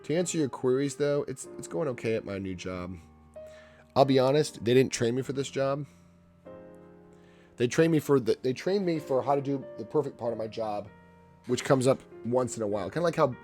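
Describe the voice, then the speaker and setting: A man's voice: mid-range voice, animated, brisk, pauses often, a little mellow, soft background music. A congested man chatting casually and rambling with his live chat while he paints in his home art studio. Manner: rambling, chatty, many restarts and asides to chat. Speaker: painter and livestreamer.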